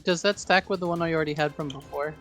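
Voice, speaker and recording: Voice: mid-range voice, fast, fairly bright tone, soft background music. A player in a tabletop game, talking casually and asking about the rules. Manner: casual, asks rules questions.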